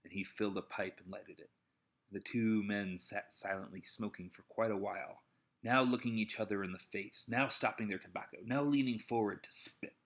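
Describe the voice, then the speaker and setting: A man's voice: lowish voice, fairly even intonation, normal speed, a bit bright, poor audio. A man reading an adventure novel aloud as dramatic narration, an amateur audiobook reader.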